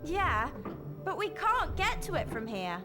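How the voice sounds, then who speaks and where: A woman's voice: very high-pitched, sing-song, measured pace, heavily acted. An actress playing an earnest, bossy schoolgirl witch in a video game, decisive. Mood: brisk, concerned. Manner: decisive, matter-of-fact. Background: music.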